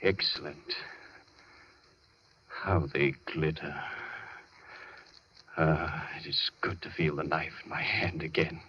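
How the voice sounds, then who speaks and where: An actor playing an obsessive, commanding mad surgeon in an old radio thriller, theatrical and menacingly tender. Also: male voice, a bass voice, steady tone, takes it slowly, pauses often, slightly rough, heavily acted. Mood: sinister tenderness. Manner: theatrical, imperious, swinging from hushed soothing to frantic shouting. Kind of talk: 1940s radio thriller acting. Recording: dull vintage radio recording with music.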